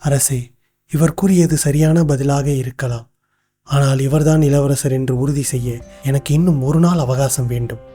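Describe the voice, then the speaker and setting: An actor voicing a wise, cautious royal minister in a cartoon, speaking formally and in a measured way. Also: male voice, medium pitch, steady tone, mellow, slightly rough, soft background music, close to an everyday voice. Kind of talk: cartoon voice acting.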